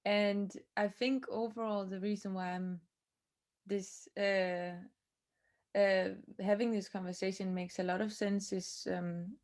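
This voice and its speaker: A woman project manager introducing herself on a panel, soft-spoken and reflective. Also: mid-range voice, flat delivery, unhurried.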